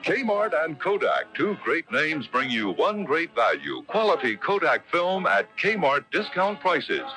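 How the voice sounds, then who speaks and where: A man delivers a polished 1970s radio commercial. His mid-pitched voice is bright and swings energetically through the sales copy, with faint music behind it. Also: poor audio. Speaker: commercial announcer. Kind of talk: scripted 1970s radio advertisement.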